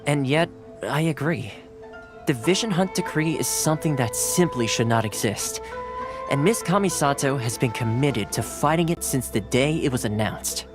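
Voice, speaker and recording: A man voicing a polite, composed retainer who explains things in a measured way. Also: mid-range voice, animated, natural voice. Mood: earnest. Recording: game audio with clear music behind.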